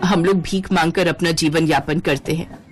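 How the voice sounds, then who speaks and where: A woman's voice: sits low, steady tone, moderate pace, over music, voice pushed down, close to an everyday voice. A woman voicing a stern, cautious beggar mother in a cartoon story.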